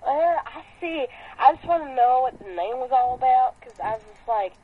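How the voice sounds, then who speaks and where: A woman's voice: high voice, animated, normal speed, husky. Brief: rude, confused prank caller, played by a woman, tinny phone-line sound. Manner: mumbling, rude.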